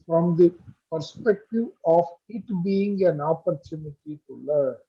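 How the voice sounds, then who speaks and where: A man teaching a spiritual study group over a video call, speaking in a measured way and checking in with questions. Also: high-pitched, mellow, breathy edge, dull audio. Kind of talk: online study group lecture. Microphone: computer mic on a video call.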